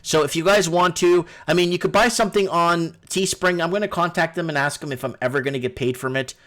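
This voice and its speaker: A man's voice: on the high side, quick pace, a bit bright. A man hosting a casual live call-in stream, rambling and repeating himself as he addresses his viewers. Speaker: livestream host.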